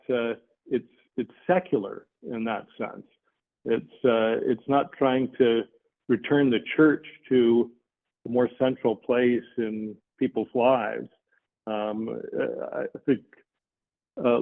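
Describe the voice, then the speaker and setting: A man speaking as a panelist on a video call, measured and hesitant, pausing often to think. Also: mid-range voice. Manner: measured, hesitant, pauses with many fillers. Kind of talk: considered panel commentary. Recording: tinny phone-like call audio.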